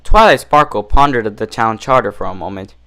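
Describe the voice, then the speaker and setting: A man's voice: fairly low pitch, fairly quick, pushed and bright. A man reading a story aloud as scripted narration. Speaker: fan fiction reader.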